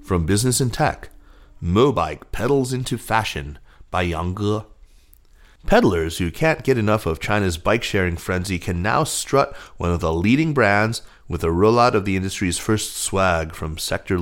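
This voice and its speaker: Male news reader, deep voice, scripted podcast narration. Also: lively intonation, moderate pace.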